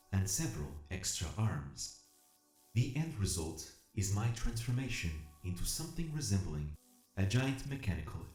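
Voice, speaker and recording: A man's voice: lowish voice, dark tone, echoey room. A man playing an earnest, determined, calculating combat robot, narrating an audio drama in the first person with formal, precise diction. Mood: grim resolve. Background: music.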